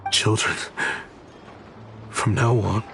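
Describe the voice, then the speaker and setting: An actor playing a remorseful adult male inventor, an uncle, who speaks in a halting, hesitant way. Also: fairly low pitch, steady tone, creaky. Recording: game dialogue over loud music.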